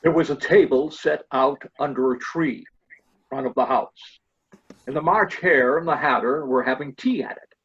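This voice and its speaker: A man's voice: mid-range voice, moderate pace, fairly bright tone. A man reading scripted storybook narration as the narrator. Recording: dull lo-fi sound.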